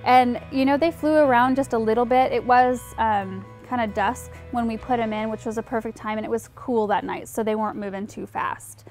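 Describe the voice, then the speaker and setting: A woman's voice: fairly high pitch, steady tone, fairly quick, hardly any pauses, darkish tone, faint music behind. A young woman hosting a gardening show, chatting casually and explaining things with light laughs and frequent ums. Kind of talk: casual how-to narration. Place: outdoor backyard garden, windy.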